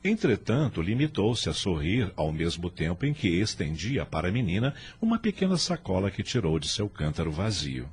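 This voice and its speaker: A man's voice: low-pitched, big pitch swings, moderate pace, few pauses, a little mellow, slight background noise. A man with a Brazilian accent reading scripted narration for a radio drama. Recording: music under the voice.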